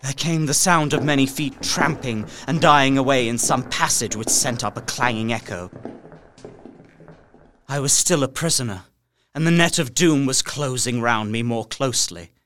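A man's voice: mid-range voice, a bit bright, slight background noise, natural voice. Theatrical, desperate young captive, acted by a man. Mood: hushed dread. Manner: theatrical, intense, deliberate archaic diction. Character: young Englishman, terrified desperate captive writing his journal. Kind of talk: dramatic gothic radio play monologue. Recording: music under the voice.

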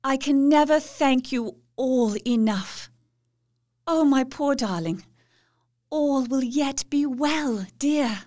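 A gentle young wife. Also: female voice, sits high. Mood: tender. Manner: tender, pleading, slow. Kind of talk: audio drama acting.

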